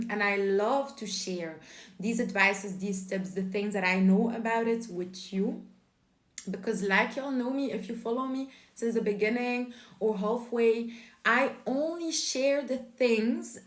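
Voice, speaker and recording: A young woman from Belgium, whose first language is Dutch, chats to camera in English. She giggles and apologizes often, and her mid-pitched voice is heard through a phone mic. The room around her is noticeably echoey. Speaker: vlogger. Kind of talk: casual chat to camera.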